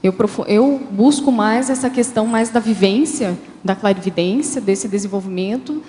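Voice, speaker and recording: Mellow woman in a reverberant hall. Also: mid-range voice, normal speed. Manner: hesitant, many fillers. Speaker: guest author. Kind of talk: interview answers, explanatory.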